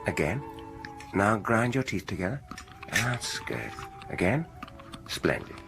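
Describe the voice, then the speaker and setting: An actor playing a retiring British dentist in a radio drama, soft-spoken and measured, courteous with a quietly sinister edge. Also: male voice, on the low side, big pitch swings, gravelly, music in the background, exaggerated voice. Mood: smoothly courteous.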